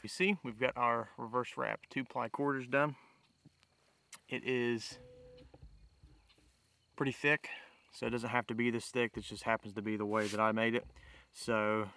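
A man's voice: mid-range voice, flat delivery, normal speed, gravelly, long pauses, pushed and bright, no echo. A man giving a casual step-by-step bushcraft tutorial outdoors, explaining in a plain-spoken, informal way. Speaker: bushcraft demonstrator, host. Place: outdoors in woodland.

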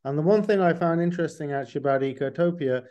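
Mellow, halting man on a call. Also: a little high, dull audio. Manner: halting, often rephrasing. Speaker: co-interviewer. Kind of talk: casual, discursive interview talk. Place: indoors, book-lined room at home, on a video call.

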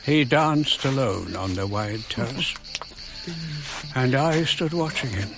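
An older actor playing a haunted old archaeologist, narrating his memories in measured, broken phrases for radio drama. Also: medium pitch, lively intonation, creaky, background noise. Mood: haunted, reflective. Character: old English archaeologist, haunted, wistfully recalling his past. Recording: dull radio recording, prominent music behind.

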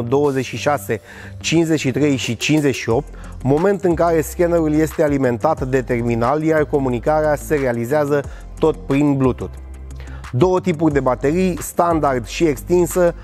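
A man's voice: fairly high pitch, a little mellow, soft background music. A man in his thirties presenting and demonstrating a product in a large warehouse, upbeat and scripted. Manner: upbeat, demonstrating while walking and working.

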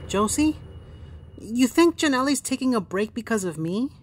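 A woman's voice: fairly high pitch, lively intonation, moderate pace. A woman playing a headstrong, blunt child on a quest in an audio drama. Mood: sheepish.

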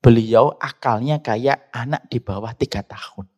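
A middle-aged man preaches with a Javanese accent at a medium pitch. He mixes religious teaching with storytelling in a conversational manner. He often turns to address his listeners directly. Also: a little slow, darkish tone, slightly rough. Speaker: Islamic preacher. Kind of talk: sermon with personal storytelling.

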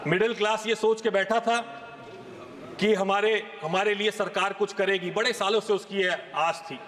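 A man in his thirties delivers a forceful parliamentary speech, pressing rhetorical questions and gesturing as he talks. His voice sits high for a man, and the large chamber gives it a long, trailing reverb. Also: fairly even intonation, normal speed. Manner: emphatic, rhetorical questions, gesturing while speaking. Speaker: member of parliament. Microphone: fixed desk microphone.

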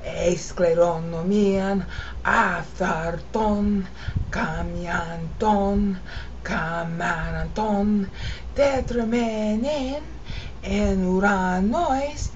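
A woman teaching informally, explaining and chanting Greek verse in a drawn-out sing-song way. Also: mid-range voice. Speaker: amateur Bible teacher. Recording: muffled lo-fi recording with background noise.

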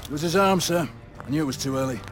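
Guy playing tough special forces leader in a shooter game, curt orders, bit higher voice, music behind. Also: fast talker, natural voice. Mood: matter-of-fact. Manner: clipped, curt, military. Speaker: squad leader. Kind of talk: cinematic video game acting.

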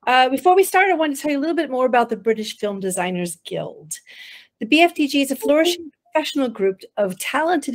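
A woman's voice: a little high, rough recording. A woman in her fifties hosting an interview over a video call from an indoor room. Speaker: host, guild chairperson. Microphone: computer mic on a video call.

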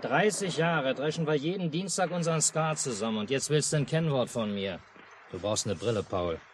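A man's voice: mid-range voice, expressive, normal speed, natural voice. An actor playing a stubborn, unruffled village family man and narrator in a 1950s German radio drama. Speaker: radio play lead and narrator. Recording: noisy mono 1950s radio recording.

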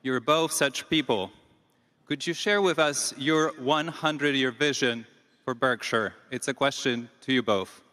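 A man's voice: mid-range voice, unhurried, bright, forceful tone. A middle-aged man reading out a prepared question from the audience of a public meeting. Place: audience area of a large dark hall. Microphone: stand microphone. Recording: reverberant arena public address sound.

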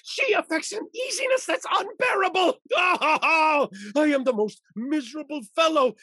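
An exasperated older husband in period stage comedy. He declaims in a high, sharp, blustering voice, close and dry. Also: no echo, heavily acted. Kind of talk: theatrical classical stage comedy acting. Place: indoors, video call.

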